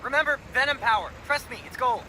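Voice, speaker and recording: An actor playing an eager young male best friend who talks in a chatty, excitable way over the phone. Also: very high voice, quick pace, slight background noise, exaggerated voice, low-quality recording. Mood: excited.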